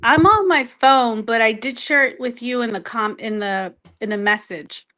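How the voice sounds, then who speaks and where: A woman's voice: fairly high pitch, moderate pace, bright, forceful tone. A woman chatting casually as a participant on a live audio show. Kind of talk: casual chat.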